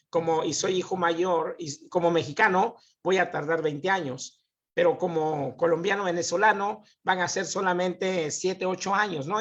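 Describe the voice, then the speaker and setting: A man's voice: fairly high pitch, dull audio. A man, a guest expert on immigration, methodically explaining legal questions on a live show.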